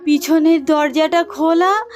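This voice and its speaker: Woman as a high, slow, anxious village neighbour. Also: fairly even intonation, soft background music. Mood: panicked, tearful. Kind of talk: melodramatic cartoon voice acting.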